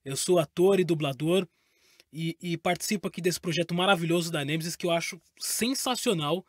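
A man's voice: a little high, a little fast. A man in his thirties with a Brazilian accent speaks in a somewhat raised voice, friendly with hesitant fillers. He is close on a studio microphone in a booth, the sound dry and free of room echo. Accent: Brazilian Portuguese. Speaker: actor and voice actor. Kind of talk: casual promo to camera. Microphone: studio mic with pop filter, headphones.

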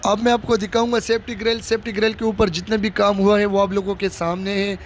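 A man with a high-pitched voice talks straight to his viewers as he walks through an outdoor stadium. He is recorded on a handheld phone. Faint outdoor noise sits behind him. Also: level tone, fast talker. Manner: addresses viewers directly, repetitive showing phrases. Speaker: vlogger giving construction updates. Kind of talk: casual walking tour narration. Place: outdoors in a cricket stadium under construction. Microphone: handheld phone camera held by the speaker.

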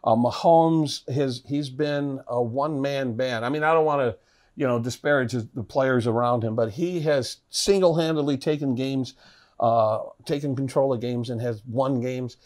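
A man's voice: mid-range voice, normal speed, darkish tone. A middle-aged man hosting a cooking show from a kitchen set, chatting upbeat about football while he cooks. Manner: chatty, upbeat sports talk. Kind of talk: casual chat while cooking.

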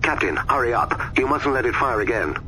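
An actor playing a grave, weary military commander in a video game, solemn and commanding. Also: male voice, mid-range voice, very animated, fairly quick, bright, forceful tone, a little hiss or hum, rough recording. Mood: urgent command. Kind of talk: scripted video game voice acting.

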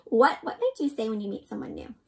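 Very animated, high-pitched young woman reading aloud. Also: fast talker, gravelly, heavy background noise. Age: young adult. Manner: animated and expressive, often asking the listener questions. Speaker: host reading a picture book aloud to children. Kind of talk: interactive children's read-aloud.

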